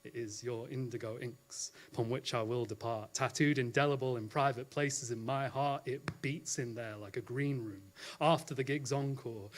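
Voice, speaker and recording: A man with a mid-pitched voice performs spoken-word poetry. His delivery is effusive and theatrical, with florid phrasing shaped like a poet addressing a room.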